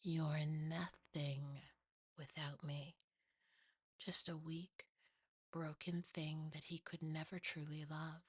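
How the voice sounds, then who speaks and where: A woman voicing a sinister, possessive demon in a hushed, hissing, taunting way for a horror ASMR story. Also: low-pitched, level tone, slightly rough, no room sound, studio quality, full character voice. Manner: slow, taunting, seductively cruel.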